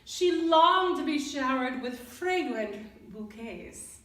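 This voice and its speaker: A woman reading a children's story aloud expressively and deliberately, pausing to put questions to young listeners. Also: high-pitched, animated, a bit bright. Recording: roomy echo, distant mic.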